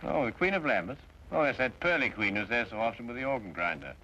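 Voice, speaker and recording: An actor playing a shrewd gentleman detective, languid and drily amused, in an old-time radio drama. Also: mid-range voice, animated, quick pace. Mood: wryly assured. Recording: muffled, noisy old radio recording.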